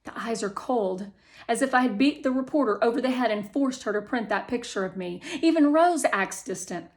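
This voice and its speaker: A woman reading a children's novel aloud with expressive, deliberate storytelling phrasing. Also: fairly high pitch. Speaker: audiobook reader.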